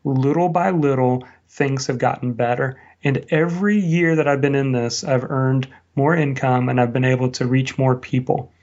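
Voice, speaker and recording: A man's voice: mid-range voice, darkish tone, lo-fi sound. A man answering as an interview guest, sharing reflective stories and advice in a conversational way. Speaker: interview guest, blogger and online business teacher.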